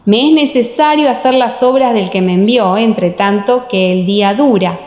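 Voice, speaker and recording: A woman voicing a solemn, assured male religious teacher with measured, emphatic delivery in a dramatized audiobook. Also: fairly high pitch, darkish tone, reverberant, in a large hall, close to an everyday voice. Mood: solemn teaching. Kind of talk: audiobook radio-theatre dialogue.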